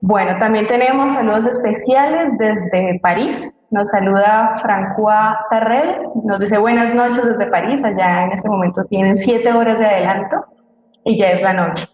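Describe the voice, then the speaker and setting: A woman speaks as co-host of a live broadcast, announcing greetings in a mid-pitched voice. The sound is roomy, with clear echo. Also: unhurried, a little mellow. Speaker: co-host reading audience greetings.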